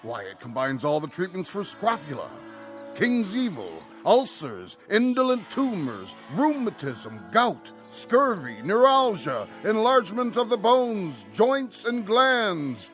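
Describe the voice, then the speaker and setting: High-pitched, swooping medicine show peddler. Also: male voice, huge pitch swings, slow, fairly bright tone, heavily acted. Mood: showy, coaxing. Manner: florid barker's patter, theatrical declaiming. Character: fast-talking showy male patent medicine peddler, folksy and grandiose. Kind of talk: old-time radio drama acting. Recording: music behind, vintage radio drama.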